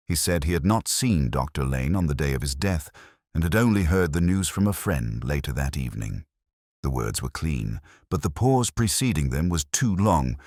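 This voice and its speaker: A man with an extremely deep voice narrates a detective story in the first person. His delivery is measured and brooding, with literary phrasing and a wide, lively pitch movement. The sound is dry, with no room around it. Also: dry recording. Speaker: audiobook-style narrator of a detective mystery.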